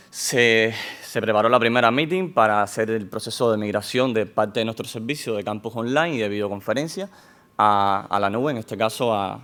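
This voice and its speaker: A man's voice: mid-range voice, fairly bright tone. Man w a foreign accent giving a tech talk, lots of ehs and restarts, mid voice. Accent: non-Spanish accent in Spanish. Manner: measured, with frequent hesitations and restarts. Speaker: conference speaker. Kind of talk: technical conference presentation. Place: conference hall.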